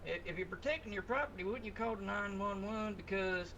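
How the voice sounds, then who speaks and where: A man playing an aggrieved, excitable biker in online roleplay, complaining in a repetitive, folksy way. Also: high-pitched, a little fast, highs cut off. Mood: indignant. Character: aggrieved, excitable dirt-bike rider pleading his case. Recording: faint background noise.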